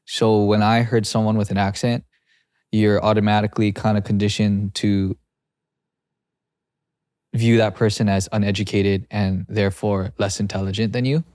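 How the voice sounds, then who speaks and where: A young adult man producing and co-hosting a podcast, talking in an animated, rambling way and laughing easily. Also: on the low side, moderate pace, no room sound, studio quality. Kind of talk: casual conversation.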